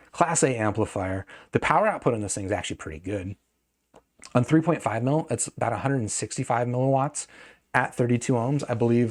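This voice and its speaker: A man's voice: medium pitch, darkish tone. A man giving a casual, unscripted product review as a host, thinking aloud, hedging and correcting himself as he goes. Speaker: host of a gadget review channel.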